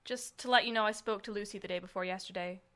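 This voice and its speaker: A woman plays a cold young theatre director in an audio drama. She is dismissive and sarcastic, with a mid-pitched voice and a cutting manner. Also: fast talker, fairly bright tone, close to an everyday voice. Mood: guarded.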